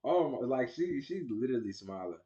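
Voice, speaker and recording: A man's voice: medium pitch, big pitch swings, a little fast, mellow, dull audio, a little hiss or hum. A man reacting to a video in casual, blunt, slangy commentary, joking as he goes.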